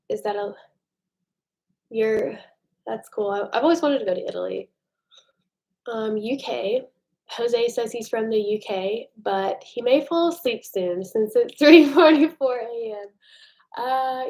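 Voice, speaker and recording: An American woman in a relaxed late-night livestream, talking loosely with her viewers. She reads their comments aloud and pokes fun at herself in an easygoing, chatty way. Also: mid-range voice. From United States. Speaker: livestream host. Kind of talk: casual live chat with viewers. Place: home at night.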